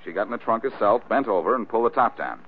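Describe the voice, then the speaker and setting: An actor playing a cool, sardonic amateur sleuth with teasing dry wit in old-time radio detective style. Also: male voice, fairly low pitch, animated, fast. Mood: wry, reasoning. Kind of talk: 1940s radio detective acting. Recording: noisy, tinny old-time radio recording.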